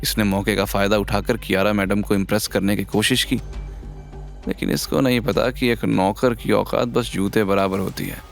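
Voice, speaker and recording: Narrator as a snide, hushed office gossip. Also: male voice, on the low side, steady tone, normal speed, gravelly, faint music behind. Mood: mocking. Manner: hushed, insinuating, mocking. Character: snide, gossiping male office employee, sneering and suspicious.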